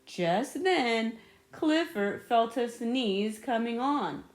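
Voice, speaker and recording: A woman narrates a children's storybook at a slow, measured pace. Her pitch moves around a great deal, and she builds up dramatic moments in an animated way. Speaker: storybook reader. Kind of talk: expressive children's read-aloud narration.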